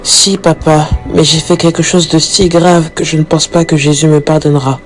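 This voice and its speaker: A woman's voice: sits low, level tone, moderate pace, close to an everyday voice. Woman acting guilt-ridden young daughter over music. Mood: remorseful. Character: troubled young daughter, guilt-ridden, repentant, fearful of death. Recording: background music under the voice.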